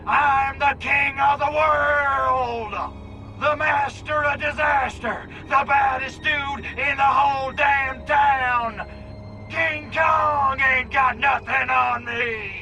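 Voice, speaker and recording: An actor playing a crude, cocky alien invader in a video game, brash and full of sarcastic wisecracks. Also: male voice, very high voice, fairly even intonation, slow, bright, forceful tone, dull audio, soft background music, full character voice. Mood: angry boasting. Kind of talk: video game cutscene acting.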